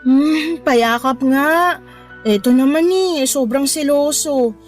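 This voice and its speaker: A sweet-talking young girlfriend in a radio drama. Her voice is high-pitched, with a coaxing, placating manner. Quiet music plays underneath. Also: slow. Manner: coaxing, cajoling. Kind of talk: Tagalog radio drama acting.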